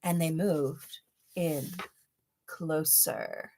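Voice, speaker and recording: A woman reading a children's storybook aloud with deliberate, dramatic phrasing and casual asides. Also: low voice, steady tone, warm tone. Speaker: storybook reader, host of a read-aloud library.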